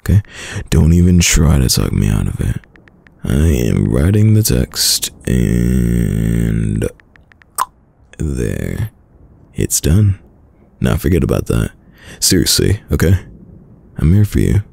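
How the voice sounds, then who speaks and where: Man playing a very deep, gravelly doting boyfriend. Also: big pitch swings, heavily acted. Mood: firm, tender. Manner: soft, slow, soothing, hesitant. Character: caring, worried, doting young boyfriend. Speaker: audio roleplay voice actor. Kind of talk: intimate boyfriend ASMR roleplay.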